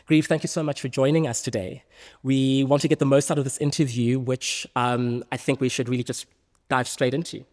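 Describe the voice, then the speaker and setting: A man's voice: mid-range voice, fairly even intonation, a little fast, darkish tone. A man hosting an interview podcast, introducing a guest and asking questions. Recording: echoey hall with long reverb.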